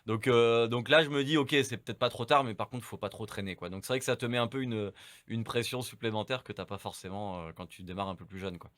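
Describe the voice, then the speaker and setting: A man in his forties with a French accent, speaking candidly and very quickly with plenty of fillers. His voice is fairly low, and it is recorded dry and close. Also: fairly bright tone, no echo. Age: 40s. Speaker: guest, mastering engineer. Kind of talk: casual interview chat.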